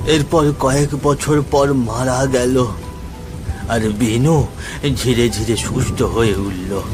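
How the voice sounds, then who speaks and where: পুরুষ অভিনেতার ধীরলয়ে গল্প বলা গ্রামের দাদু. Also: male voice, mid-range voice, close to an everyday voice. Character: old grandfather telling a village ghost tale. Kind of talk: cartoon storytelling narration.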